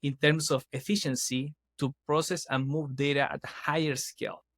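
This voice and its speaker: A man's voice: mid-range voice, fairly even intonation, moderate pace, a bit bright, no room sound. A man from Chile, a guest on an interview podcast, explaining at length in an earnest, restarting way. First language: Spanish. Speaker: guest, startup CEO.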